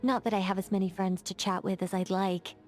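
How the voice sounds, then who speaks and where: A woman's voice: medium pitch, level tone, brisk, soft background music, close to an everyday voice. An actress voicing a poised, playful female mage in a game, talking in a wry, teasing, chatty way. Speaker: game character. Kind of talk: game voice lines.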